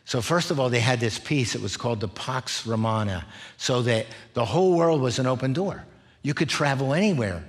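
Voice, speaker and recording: A man's voice: medium pitch, lively intonation, normal speed. A middle-aged man preaching in an explanatory way, laying out points and often checking in with listeners. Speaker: preacher, former missionary. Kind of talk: expository sermon, teaching in numbered points.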